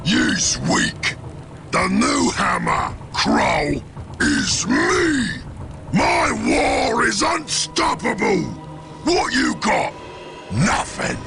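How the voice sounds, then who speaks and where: A man's voice: sits high, wildly swooping pitch, very slow, creaky, over music, full character voice. A man voicing a big, brutish, boastful ork warlord in a game cutscene, with a harsh guttural growl and a Cockney accent. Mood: boastful taunting. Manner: crude, bragging, taunting. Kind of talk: video game cutscene acting.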